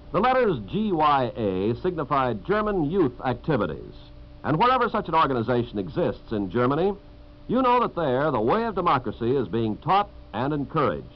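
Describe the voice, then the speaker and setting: An American man reading a scripted announcement in 1950s radio style. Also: medium pitch. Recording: muffled, hissy old radio recording with hum.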